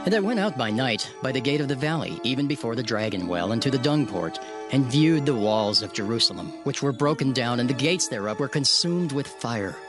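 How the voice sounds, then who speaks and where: A man narrates scripture in an earnest, prayerful first-person voice at a middle pitch. A clear music underscore runs beneath his reading throughout. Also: lively intonation, fairly quick. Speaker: narrator voicing Nehemiah.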